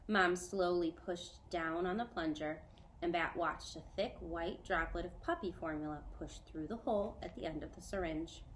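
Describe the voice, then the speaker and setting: A woman teacher reading a children's novel aloud to a student, clear, measured and gentle. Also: fairly low pitch, a little hiss or hum.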